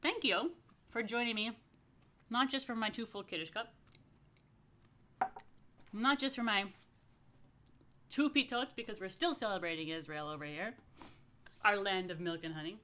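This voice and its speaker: A woman's voice: medium pitch, normal speed, long pauses, pushed and bright. Casual, playful woman rabbi chatting to families. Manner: playful, informal asides; addresses viewers directly with questions and invitations. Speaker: rabbi hosting family story time.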